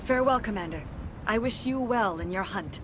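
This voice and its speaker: An actress voicing a solemn, remorseful centuries-old female alien disciple in a video game, speaking formally, in earnest, measured phrases. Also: mid-range voice, lively intonation, background noise, lightly acted. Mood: humble, grateful. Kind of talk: video game dialogue acting. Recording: game audio with clear background ambience.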